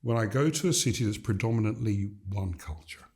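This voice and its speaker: An older man from Gambia, a leadership coach, talking in an interview in a measured, emphatic way that builds points through repeated phrases. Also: on the low side, darkish tone. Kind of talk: reflective interview conversation.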